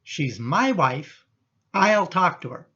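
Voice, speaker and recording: An actor playing an earnest middle-aged husband and son in a radio play, firm and protective. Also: male voice, on the high side, sing-song, takes it slowly, bright, forceful tone, slightly rough, muffled recording, full character voice.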